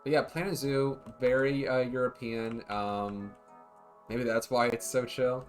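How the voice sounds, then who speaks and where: A man's voice: medium pitch, fairly even intonation, unhurried, soft background music. A man streaming a zoo-building game, chatting casually and rambling to his viewers as he plays. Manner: laid-back, rambling, talks to chat. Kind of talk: casual livestream chat.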